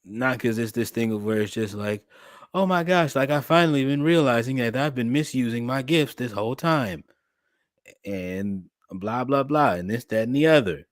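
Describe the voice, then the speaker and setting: A young man, talking to his livestream chat in an animated, preacherly way. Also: mid-range voice, normal speed, no room sound, clean studio sound. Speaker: streamer and podcast host.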